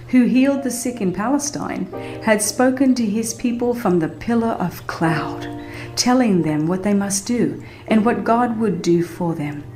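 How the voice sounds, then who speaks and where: A woman reading a religious book as a dramatized audiobook, with an earnest, measured and formal delivery. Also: medium pitch, darkish tone. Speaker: audiobook narrator. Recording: roomy reverb, faint background music. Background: faint music.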